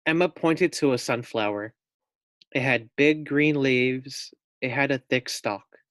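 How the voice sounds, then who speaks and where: Slow-paced man reading a children's story. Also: medium pitch, a bit bright, dry recording, studio quality. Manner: pauses to ask young listeners questions. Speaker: teacher reading aloud. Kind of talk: expressive children's storybook read-aloud.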